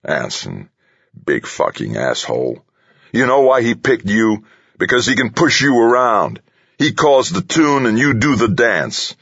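A man's voice: deep voice, sing-song, moderate pace, gravelly, a bit bright, husky, voice pushed up, heavily acted. A man voicing a big, hot-tempered, foul-mouthed bully of an engineer in an audiobook, belligerent and roaring. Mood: furious. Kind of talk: audiobook character voice. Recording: slightly dull, roomy recording.

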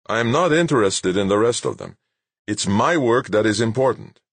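A man acts out a stubborn, self-important male scientist from an audiobook. His voice is raised and husky, swinging indignantly as he insists on getting his way. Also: fairly low pitch, lively intonation, moderate pace, no room sound, voice pushed up. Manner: insistent, clipped protesting. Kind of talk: acted audiobook dialogue.

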